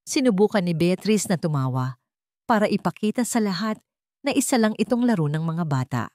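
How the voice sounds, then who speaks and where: A woman's voice: on the low side, animated, a little fast, a little mellow, no echo, studio quality. A woman narrating a scripted story in a solemn, suspenseful, dramatic way.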